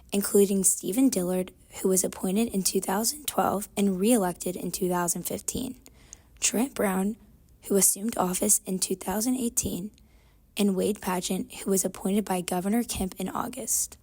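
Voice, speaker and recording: A woman news reporter reading a scripted report in a measured, formal way. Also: medium pitch, mellow.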